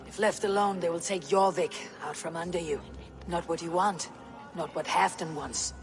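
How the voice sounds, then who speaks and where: An actress playing a blunt, resolute Viking warrior woman in a video game, speaking in measured phrases. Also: lowish voice, steady tone, music in the background, close to an everyday voice. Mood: firm, insistent. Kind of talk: video game cutscene acting.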